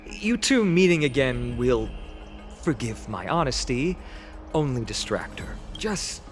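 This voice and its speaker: An actor playing a kind, earnest young hero with a gentle, hesitant, polite manner in a video game. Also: male voice, medium pitch, big pitch swings, a little slow, faint music behind. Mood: hesitant tact.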